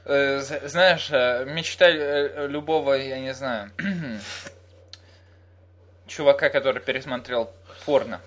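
A man's voice: medium pitch, takes it slowly, a bit bright. A young man from Russia in casual, crude, joking chat with a friend, telling anecdotes and mimicking people.

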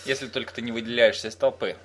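A man's voice: mid-range voice, fairly even intonation, fast, pushed and bright. A young man from Russia in casual, crude, joking chat with a friend, telling anecdotes and mimicking people.

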